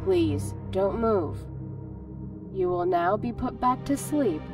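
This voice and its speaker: Slow, distant, impersonal announcer. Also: female voice, mid-range voice, animated. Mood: impersonal, ominous. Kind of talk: game voice acting, formal announcement. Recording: distant voice under eerie music.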